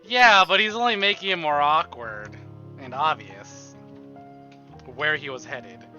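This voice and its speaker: A man's voice: a little high, unhurried, sharp tone, highs cut off. A man livestreaming a visual novel, reading the narration expressively and breaking into theatrical, exasperated asides. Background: game music.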